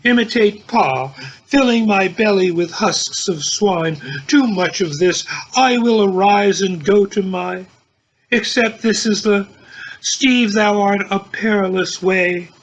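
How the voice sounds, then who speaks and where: A man reading the part of a brooding, tipsy young intellectual who muses to himself in a literary drama, with a husky voice. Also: high-pitched, slow, voice pushed up, full character voice. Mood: wry musing. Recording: dull lo-fi home recording.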